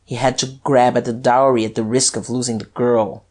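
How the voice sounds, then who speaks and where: A woman's voice: very deep voice, a little slow, slightly rough, heavily acted. A woman voicing a mocking, hot-tempered male police detective in a dramatized audiobook. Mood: mocking, reflective. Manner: mocking, taunting, excitable.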